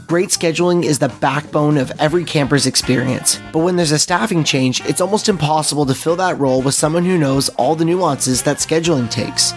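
A man reading a scripted podcast intro and ad. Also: a little high. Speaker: show announcer. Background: guitar music.

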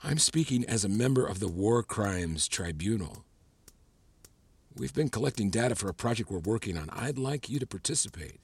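A man's voice: lowish voice, animated, moderate pace, creaky, a little mellow, slightly rough, no room sound, exaggerated voice. An actor playing a persuasive, patronizing male professor and official in an audio drama, speaking articulately and coaxingly. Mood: businesslike, persuasive. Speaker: actor in a fiction podcast.

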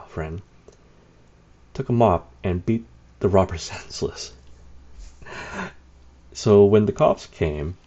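Man, very low dark voice, rambling anecdotal storyteller, dull lo-fi sound. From Canada. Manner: rambling, many fillers, wry laughs. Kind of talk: casual anecdote telling. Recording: dull lo-fi recording.

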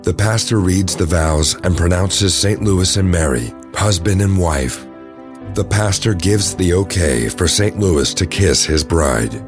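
Deep-voiced male narrator over music. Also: measured pace. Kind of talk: scripted audio drama narration.

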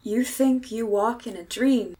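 Actress as despairing stranded woman, radio horror drama, slightly high voice, fearful, anguished, theatrical. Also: unhurried, mellow, lightly acted. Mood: bleak, haunted. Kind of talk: old-time radio horror acting.

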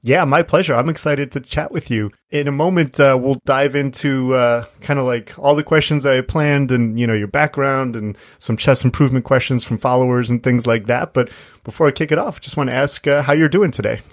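A man hosting an interview podcast, introducing his guest and chatting in a relaxed, friendly way with plenty of fillers. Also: mid-range voice, a little fast. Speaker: podcast host.

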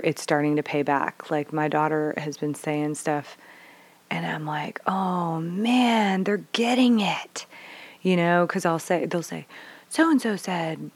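Low-voiced, candid mother telling stories. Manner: expressive, swears freely, acts out dialogue with big swings from whispers to bursts. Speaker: mother sharing parenting stories. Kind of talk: candid casual storytelling.

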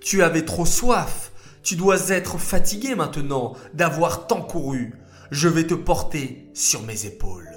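Guy putting on a humble shepherd voice, pleading, lively and higher up, soft music behind. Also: a little slow. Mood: tender. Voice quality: stammering. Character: humble young shepherd man.

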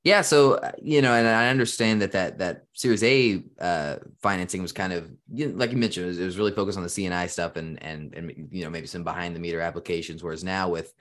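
A man's voice: low voice, fast talker, hardly any pauses, fairly bright tone. A man hosting a podcast interview, asking long, rambling questions full of restarts. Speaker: podcast host.

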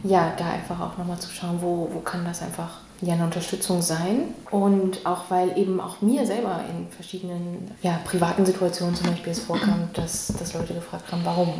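A woman hosting a conversational podcast interview, speaking in a halting, searching way. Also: lowish voice, flat delivery, warm tone. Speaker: podcast host. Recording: echoey room with faint background noise.

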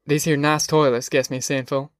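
A man's voice: fairly high pitch, level tone, moderate pace, dry recording, studio quality, close to an everyday voice. A man voicing a guilt-ridden, brooding farm uncle with a gloomy, folksy manner in an audiobook. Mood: guilty gloom.

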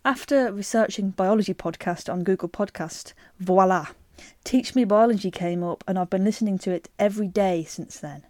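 Lively woman co-hosting a podcast. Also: medium pitch, moderate pace, hardly any pauses, dry recording, studio quality. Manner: lively, laughs often. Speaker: podcast co-host. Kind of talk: casual chat and reading a listener email.

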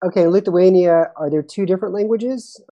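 A woman's voice: on the low side, fairly quick, lo-fi sound. A woman hosting an interview, asking curious, encouraging questions. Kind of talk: conversational interview.

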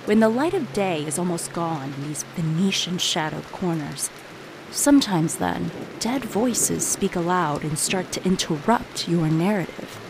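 An actress playing a sly, mocking storyteller who addresses the listener conspiratorially in a theatrical audio drama. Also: female voice, on the low side, lively intonation, unhurried, background noise. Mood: conspiratorial, teasing. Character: sly, taunting female storyteller accusing the dead. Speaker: narrator. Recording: music playing behind. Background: music.

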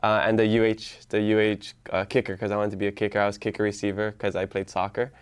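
A man's voice: on the low side, completely flat delivery, fast talker, smooth. A young man from Island, a Hawaiian speaker, telling his story easily as an interview guest and laughing often. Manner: easygoing, laughs often, rambling restarts. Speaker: podcast host as guest.

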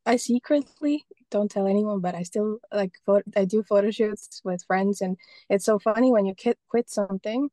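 Mellow-voiced woman from Estonia, chatty guest. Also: mid-range voice, normal speed, hardly any pauses, no echo, poor audio. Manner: rambling, many restarts and fillers. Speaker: guest, painter and photographer. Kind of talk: casual interview talk.

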